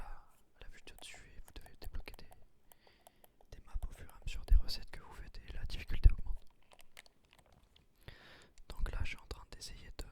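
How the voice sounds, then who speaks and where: Whispering man, ASMR gaming commentary. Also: darkish tone. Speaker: gaming video creator.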